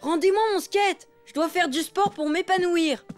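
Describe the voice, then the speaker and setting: High-voiced, stubborn cartoon boy. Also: moderate pace, faint music behind. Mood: defiant. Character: energetic, stubborn young boy elephant who skateboards. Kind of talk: French cartoon dubbing.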